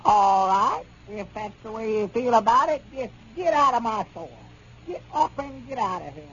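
High pitched old arkansas hillbilly shopkeeper, blustery and gullible, muffled old radio sound. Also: male voice, animated, normal speed, a bit bright, breathy edge, exaggerated voice. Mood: indignant, threatening. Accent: rural Arkansas, Southern US. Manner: folksy, rustic drawl. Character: touchy, gullible old country storekeeper, quick to bluster. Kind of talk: 1930s radio comedy acting. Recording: muffled, hissy 1930s radio recording.

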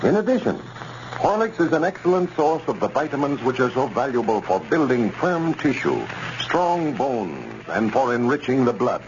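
An American man announcing in formal, measured 1930s radio style, reading scripted copy. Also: mid-range voice, sing-song. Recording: muffled, noisy 1930s radio recording.

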